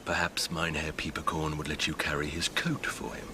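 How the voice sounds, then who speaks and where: An actor playing a sociable, inquisitive gentleman who likes to needle others, in a radio drama. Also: very deep voice, little pitch movement, normal speed, background noise. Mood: gossipy, teasing.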